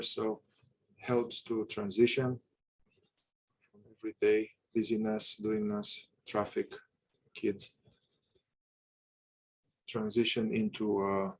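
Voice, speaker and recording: A man guiding a meditation with calm instruction, speaking in unhurried, halting short phrases with long pauses. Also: lowish voice, slight background noise, rough recording. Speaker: meditation guide.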